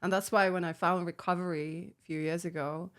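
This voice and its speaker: Finnish-accented woman, low and candid. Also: fairly even intonation, brisk, fairly bright tone, clear, resonant tone. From Finland. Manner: reflective, frank, laughs often between phrases. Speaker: podcast guest. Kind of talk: candid personal recovery story.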